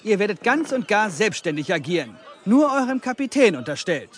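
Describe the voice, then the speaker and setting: An actor playing a shrewd, courteous, genteel merchant who recruits men in an audio drama. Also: male voice, sits high, very animated, a little fast, a faint crowd. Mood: smooth, insinuating. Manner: courteous, persuasive, self-assured. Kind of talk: German audio drama acting.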